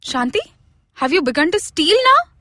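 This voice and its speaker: A woman's voice: high voice, very animated, moderate pace, bright, forceful tone, dry recording, studio quality, pitched above the performer's own voice, full character voice. A woman playing an angry, bossy housewife in a dramatic comic reading, scolding and sarcastic. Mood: indignant, mocking. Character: angry bossy housewife accusing her maid of theft.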